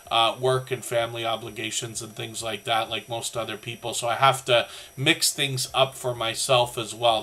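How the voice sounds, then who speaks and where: A Canadian man hosts a casual livestream in a bright, forward voice with a slight scratchiness. He rambles amiably, speaking directly to the people in his chat. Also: mid-range voice. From southern Ontario, Canada. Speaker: livestream host of a creator community channel.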